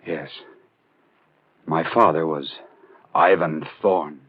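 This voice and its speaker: A man's voice: very deep voice, fairly even intonation, takes it slowly, husky, heavily acted. An American man acting in a 1940s radio drama as a weary, haunted newspaper reporter hiding his past. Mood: grave confession. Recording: dull old 1940s radio recording.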